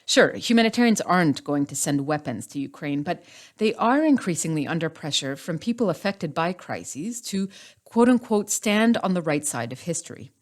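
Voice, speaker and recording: A woman hosting a podcast, speaking in a measured, articulate way as she introduces guests and frames questions. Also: deep voice, sing-song. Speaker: podcast host.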